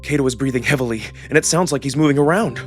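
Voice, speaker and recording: A man narrating a first-person story in a theatrical, expressive, scripted style. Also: mid-range voice, rapid-fire, faint music behind. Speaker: narrator and voice actor.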